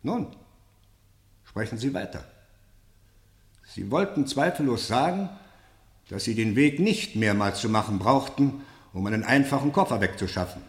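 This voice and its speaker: A male actor plays a stern, sceptical interrogator in a radio play. He speaks formally and insistently in a medium-pitched voice that rises and falls dramatically. The room gives his pointed questions a clear echo. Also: expressive, moderate pace, pauses often, lightly acted. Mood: measured, probing.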